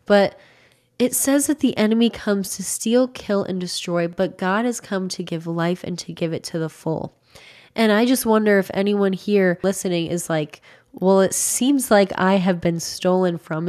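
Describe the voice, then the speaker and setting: A young woman in her twenties giving an encouraging devotional talk to camera, her voice slightly raspy from recovering from illness, recorded close on a studio mic in a small home room. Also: mid-range voice, a little mellow. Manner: earnest, encouraging, emphatic. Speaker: faith content creator. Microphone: large studio microphone close to mouth.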